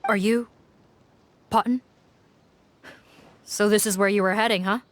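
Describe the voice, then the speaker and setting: A woman voices a composed officer in a video game, formal and blunt. Her voice is mid-pitched and steady, and she reports facts in a businesslike way. A faint hiss runs behind her. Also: unhurried, close to an everyday voice.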